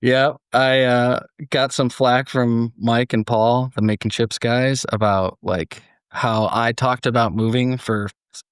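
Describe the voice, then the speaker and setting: A man's voice: medium pitch, steady tone, takes it slowly, clean tone, no room sound. A man with an American accent hosting a podcast in a chatty, jokey, casual way. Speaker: podcast host.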